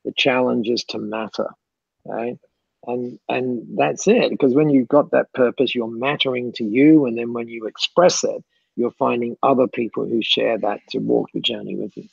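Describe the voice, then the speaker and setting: A man's voice: medium pitch, fairly even intonation, moderate pace, gravelly, husky, no echo. A man answering questions as a guest speaker in chatty, repeating speech that breaks into laughs, on a dull lo-fi call recording. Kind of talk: mentoring Q&A on a call.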